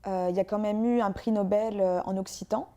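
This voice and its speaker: A woman from France, a guest author and poet, talking in an interview and pausing often with hesitant fillers. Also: mid-range voice, steady tone, mellow. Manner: hesitant, frequent filler pauses. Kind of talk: interview conversation.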